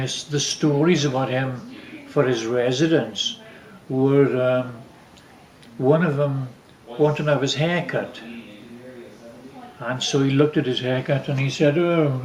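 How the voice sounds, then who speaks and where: Older male, mid-pitched, unhurried family raconteur with halting, rambling delivery; echoey room sound. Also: a little mellow. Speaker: father telling family stories. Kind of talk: casual reminiscing.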